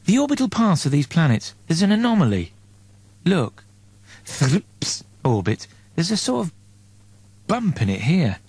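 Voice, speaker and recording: A man's voice: on the high side, huge pitch swings, a little slow, pauses often, a little mellow, breathy edge, no echo, pitched above the performer's own voice, full character voice. A man voicing an anxious, sarcastic, exasperated man in a space comedy audiobook, with emphatic, wordy delivery. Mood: puzzled, urgent.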